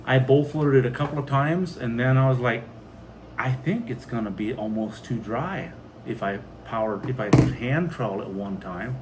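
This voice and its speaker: A man's voice: mid-range voice, moderate pace. An American man hosting a homestead vlog, chatting casually and reading out viewer comments. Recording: steady background noise.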